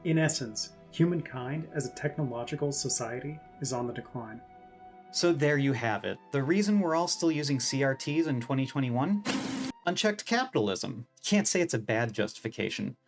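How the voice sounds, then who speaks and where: A man's voice: medium pitch, animated, moderate pace, faint music behind. A man narrates a scripted video essay in a wry, opinionated, casually profane way.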